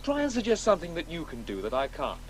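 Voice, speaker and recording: An actor playing a precocious schoolboy in a radio play, earnest, confident and articulate. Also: male voice, on the high side, wildly swooping pitch, fast, a little hiss or hum. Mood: confident, insistent. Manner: articulate, insistent, eager. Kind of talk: naturalistic radio play dialogue.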